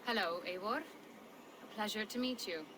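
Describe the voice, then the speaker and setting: A woman's voice: mid-range voice, unhurried, noisy background, natural voice. An actress playing a fierce, loving young Saxon noblewoman in a game cutscene, poised and resolute. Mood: warm, playful. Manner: poised, affectionate but resolute. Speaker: video game supporting character. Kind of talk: dramatic game cutscene acting.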